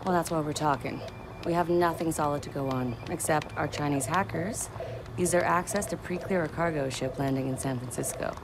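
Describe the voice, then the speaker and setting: A businesslike female hacker in a video game. Her low voice is matter-of-fact with a slightly irreverent edge. Clear ambient noise sits behind her. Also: a little fast.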